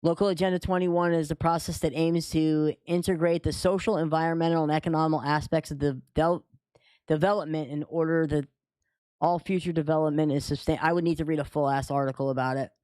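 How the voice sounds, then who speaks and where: A man's voice: fairly high pitch, level tone, a little fast, a little mellow, clear, resonant tone, no room sound. A man co-hosting a livestream in casual, informal chat. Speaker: co-host.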